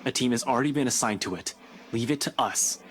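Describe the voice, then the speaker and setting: A curt young cop played by a man who brushes off every plea with clipped exasperation. He stays steady in the middle of his range, impatient and dismissive, in a game cutscene. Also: mid-range voice, fast, a little hiss or hum, natural voice. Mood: irritated. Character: brusque young male police officer. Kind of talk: video game voice acting.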